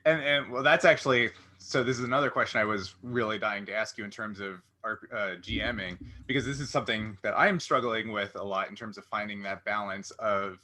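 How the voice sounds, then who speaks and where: A man's voice: fairly low pitch, a little fast, hardly any pauses, pushed and bright. A man hosting a casual interview, thinking aloud through long, rambling questions. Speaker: podcast interviewer, game master.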